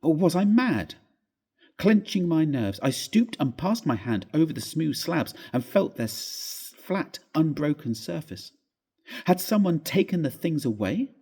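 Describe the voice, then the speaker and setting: Man, husky, mellow, medium pitch, dramatic ghost-story narration, theatrical, deliberate phrasing. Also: sing-song.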